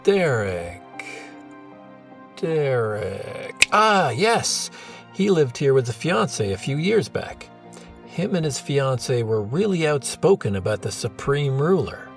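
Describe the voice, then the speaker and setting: A woman voicing a matter-of-fact neighbor man with a gravelly voice. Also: rumbling low, big pitch swings, takes it slowly, soft background music, voice pushed down, full character voice.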